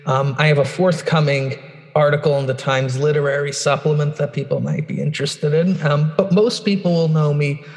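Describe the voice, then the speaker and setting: A man's voice: fairly high pitch, moderate pace, in a large hall. A man, chatting casually on a podcast. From Brooklyn, New York. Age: 20s. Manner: relaxed, loose with fillers. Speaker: podcast co-host.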